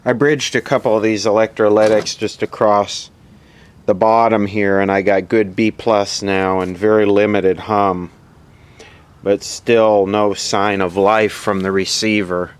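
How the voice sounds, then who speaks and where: Low-voiced, slow-talking man, noisy recording. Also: flat delivery. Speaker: host of a vintage radio repair video. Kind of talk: casual unscripted demonstration narration. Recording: clearly audible background noise.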